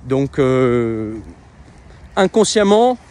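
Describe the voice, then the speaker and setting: A man's voice: medium pitch, sing-song, very slow, dark tone. A man talking casually in a vlog, with lots of hesitations and restarts. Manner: conversational, many fillers and restarts. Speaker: web entrepreneur and coach.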